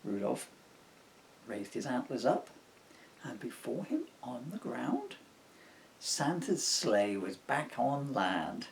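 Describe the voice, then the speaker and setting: Slow, highly expressive male storyteller. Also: creaky, a little hiss or hum. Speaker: author reading aloud. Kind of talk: children's storybook narration in rhyme.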